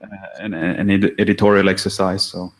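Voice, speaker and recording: A man discussing a draft at a technical meeting, halting and often repeating his words. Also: low voice, flat delivery. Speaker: draft author presenting. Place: standards meeting room.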